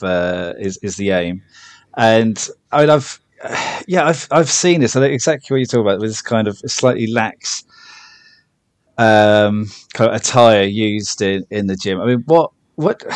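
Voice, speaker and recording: Low-voiced British man, close and dry. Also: no room sound. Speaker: podcast host. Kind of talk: casual humorous chat.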